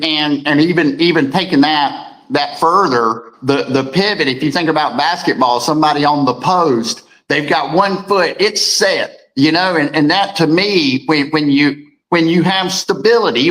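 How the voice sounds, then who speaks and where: A man with a deep voice co-hosting a casual morning talk show, rambling and repeating words as he reads viewer comments. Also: moderate pace, fairly bright tone, rough recording. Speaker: co-host.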